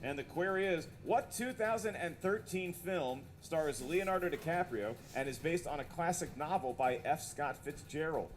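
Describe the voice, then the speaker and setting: A man runs a trivia quiz in a slightly higher voice. He reads the questions and counts down briskly, dropping jokes as he goes. Faint music plays behind him. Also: expressive. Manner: joking, brisk quizmaster delivery. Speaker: trivia game host.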